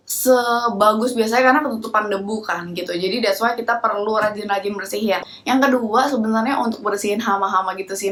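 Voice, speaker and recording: Young woman with a cold, nasal and chatty, mid-pitched, for a casual how-to vlog. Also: fairly bright tone. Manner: chatty, mixes English phrases, many fillers. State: stuffy nose from a cold. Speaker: plant care vlogger.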